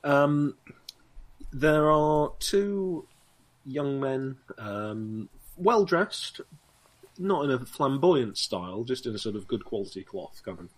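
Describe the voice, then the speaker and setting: A man's voice: mid-range voice, very animated, slow pace. Hesitant man running a game. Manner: hesitant, many ums and you-knows. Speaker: game master. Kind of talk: narrating a tabletop game.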